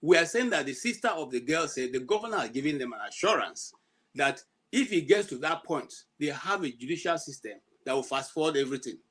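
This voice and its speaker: A man's voice: a little high, lively intonation, brisk, a bit bright, husky. A middle-aged Nigerian man with an Igbo accent, arguing insistently on a panel and slipping into pidgin. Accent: Nigerian Igbo English. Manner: insistent, argumentative, mixes in pidgin. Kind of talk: heated panel discussion.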